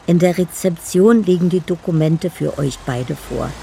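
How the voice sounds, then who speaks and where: An actress playing a dreamy, lovelorn woman in a radio play, speaking poetic love letters in a deliberate, solemn way. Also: fairly low pitch, vocal fry, mellow, a little hiss or hum. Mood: tender, solemn. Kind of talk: radio play inner monologue.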